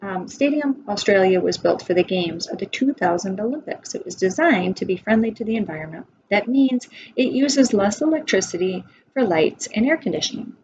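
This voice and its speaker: A woman's voice: medium pitch, darkish tone. An American woman in her forties reading a children's nonfiction book aloud as a preschool teacher, instructive, with asides to her listeners. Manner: instructive, asks listeners questions. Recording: dull lo-fi webcam sound, background noise.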